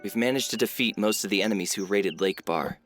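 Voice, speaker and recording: Man playing a low-voiced earnest fantasy prince. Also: brisk, over music, lightly acted. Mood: grateful. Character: noble young fantasy prince, earnest and caring. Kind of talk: fantasy video game voice acting.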